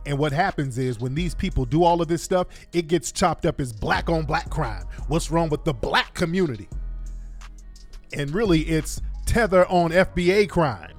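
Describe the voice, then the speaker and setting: A man's voice: fairly high pitch, animated, moderate pace, hardly any pauses, soft background music. A man hosting a talk show, giving opinionated live commentary in an emphatic, colloquial way and repeating points for stress.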